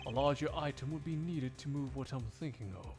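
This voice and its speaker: A man's voice: mid-range voice, brisk, music in the background, lightly acted. A man voicing a stern, proper, easily exasperated prosecutor in a live game fandub, speaking formally and precisely. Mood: analytical, composed.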